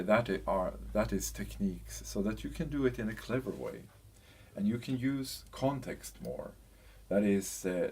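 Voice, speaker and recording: A man giving an explanatory training presentation, speaking in a hesitant, halting way as he searches for words. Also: fairly low pitch, dark tone, slightly rough. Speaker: presenter of a language training package. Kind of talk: explanatory presentation, loosely scripted.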